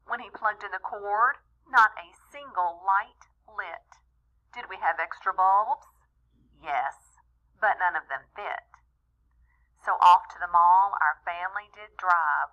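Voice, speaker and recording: A woman reading a rhyming children's story aloud in an expressive, deliberate way, as a storyteller talking to young listeners. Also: medium pitch, dull audio. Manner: animated, deliberate, with small asides to young listeners.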